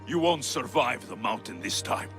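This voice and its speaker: An actor voicing a brooding, wounded young warrior hero in a video game cutscene, earnest and heavy-hearted. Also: male voice, medium pitch, lively intonation, normal speed, close to an everyday voice. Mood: anguished, resolute. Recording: music under the voice.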